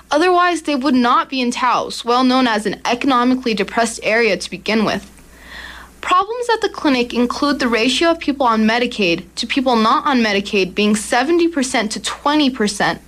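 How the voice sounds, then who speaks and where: A woman's voice: on the high side, a bit bright. Teenage girl reading a radio commentary. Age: teens. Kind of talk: read commentary.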